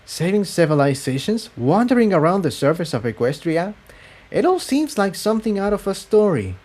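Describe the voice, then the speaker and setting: A man voicing a gentle young female pony in a fantasy story, anxious but supportive, with soft, earnest delivery. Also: high-pitched, very animated, normal speed, pitched above the performer's own voice. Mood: amused wonder.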